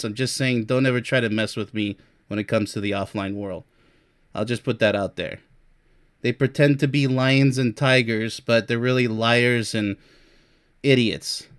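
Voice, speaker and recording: A man's voice: medium pitch, clear, resonant tone. Blunt, sarcastic man in his forties. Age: 40s. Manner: blunt and boastful, with sarcastic asides and short laughs. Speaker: relationship coach and vlogger. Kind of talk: casual monologue reading from a list. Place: Europe.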